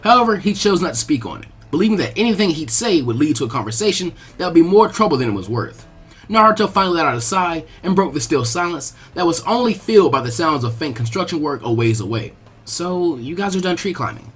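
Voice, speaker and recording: A man's voice: a little high, sing-song, quick pace, fairly bright tone, husky. A man reading a scripted story aloud as a dramatic narrator. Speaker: narrator of fan fiction readings. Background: music.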